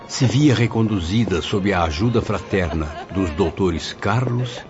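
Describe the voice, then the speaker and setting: An actor playing a courteous, curious man recounting his memoirs in a radio drama, formal and expressive. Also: on the low side, measured pace, natural voice. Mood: reflective. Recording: dull audio with music bed.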